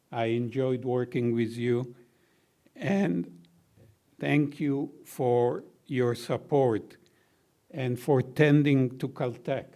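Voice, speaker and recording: An older man with a baritone voice delivers closing remarks at a university reception, speaking very slowly and deliberately. His pitch sits in an ordinary middle range, and his gratitude comes with the odd gentle joke. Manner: slow, measured, gently humorous. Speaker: honoree giving closing remarks. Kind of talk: heartfelt formal thank-you speech.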